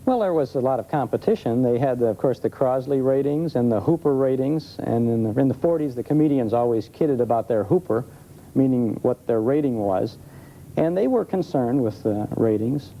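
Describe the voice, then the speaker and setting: A man's voice: mid-range voice, expressive, normal speed, mellow. An American man speaking as a guest expert, explaining casually in an interview. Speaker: guest expert on old-time radio. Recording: muffled 1970s TV recording, faint noise.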